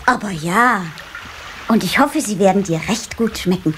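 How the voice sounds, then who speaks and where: A woman playing an eager, cheeky little water sprite boy in a children's radio play, excitable and wide-eyed. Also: mid-range voice, normal speed, natural voice. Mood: cheeky, determined. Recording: faint hiss of old cassette.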